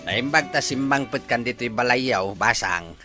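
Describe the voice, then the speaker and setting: A man acting an insistent, forceful father in an audio drama. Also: medium pitch, animated, measured pace, over music, close to an everyday voice. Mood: firm. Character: insistent father urging his daughter to marry.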